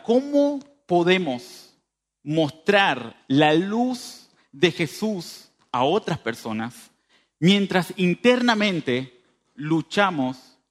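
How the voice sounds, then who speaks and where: A man of thirty-five with a northern Uruguayan border accent preaching informally, speaking straight to his listeners and repeating phrases for emphasis. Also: fairly high pitch. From northern Uruguay, near the Brazilian border. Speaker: preacher at a youth church meeting. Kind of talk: conversational sermon.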